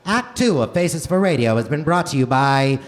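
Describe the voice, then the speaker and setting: A man announcing in mock old-time radio style, with exaggerated, drawn-out salesmanship. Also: a little high, animated. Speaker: radio show announcer and host. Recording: live theater stage, faint noise.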